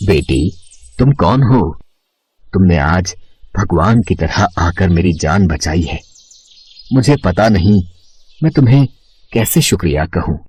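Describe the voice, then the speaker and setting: A man voicing a weak, grateful elderly holy man with a halting, fatherly manner in a moral bedtime story. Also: sits low, lively intonation, a little mellow, husky, full character voice.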